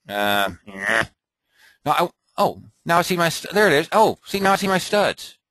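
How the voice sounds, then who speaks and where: A man thinking aloud and muttering to himself as he clicks through an off-the-cuff software tutorial at his computer. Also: on the high side, sing-song, normal speed, no room sound. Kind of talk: off-the-cuff screen recording tutorial.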